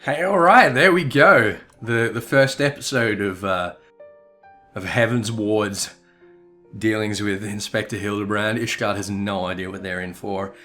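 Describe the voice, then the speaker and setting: A man gives casual commentary on his gaming video. His voice is on the low side, and music plays behind it. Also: a little slow, pushed and bright.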